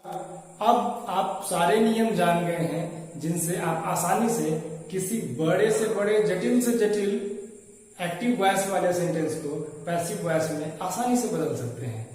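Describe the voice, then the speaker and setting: A man's voice: high-pitched, unhurried, darkish tone. A man hosting an online lesson, addressing viewers in a scripted style, with a very echoey, reverberant sound.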